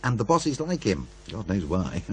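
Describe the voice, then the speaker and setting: A male radio producer in an audio drama, wry and needling. He muses and insinuates, with a lively, swinging intonation. A faint hum sits behind the voice. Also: lowish voice, measured pace. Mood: wry, cautioning.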